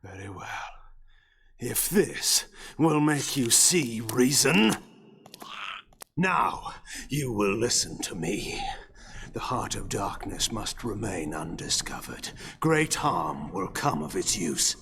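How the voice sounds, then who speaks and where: An actor playing a proud, ancient vampire lord in a video game, grave and measured. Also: male voice, big pitch swings, background noise, exaggerated voice. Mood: urgent, commanding. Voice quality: husky, gravelly low voice. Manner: measured, imperious, theatrical delivery. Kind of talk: dramatic video game cutscene acting.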